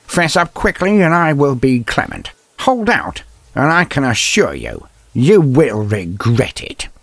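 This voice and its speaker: A man voicing a gruff, defiant old inquisitor in an audio story, mocking and theatrical, with a gravelly, husky voice. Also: mid-range voice, very animated, a little slow, a bit bright, no echo, voice pushed up, exaggerated voice. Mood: triumphant, menacing. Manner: theatrical, taunting, tags questions with "eh".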